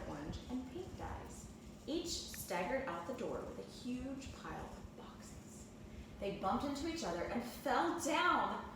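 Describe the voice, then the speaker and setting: Lady teacher reading a kids storybook out loud, super echoey, kinda far from the mic. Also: a little high. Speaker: second grade teacher reading aloud. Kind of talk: read-aloud storybook narration for children. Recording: echoey room, distant mic, clear background noise.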